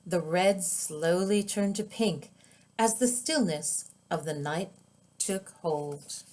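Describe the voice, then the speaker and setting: Slow-paced woman reading a children's storybook. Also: fairly low pitch, a little mellow, slight background noise. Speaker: author reading own children's book.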